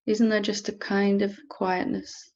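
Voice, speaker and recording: Female meditation teacher: soft instruction, level intonation, creaky texture, lingering pauses, somewhat muffled sound. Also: mid-range voice, flat delivery. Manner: unhurried, gentle, with long pauses. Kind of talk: guided meditation, softly instructing.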